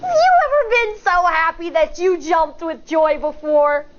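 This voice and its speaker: A woman in her thirties, a teacher, reading a picture book aloud to children in an animated, expressive way with chatty asides. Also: very high-pitched, measured pace, lo-fi sound, a little hiss or hum. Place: studio in front of a blue backdrop.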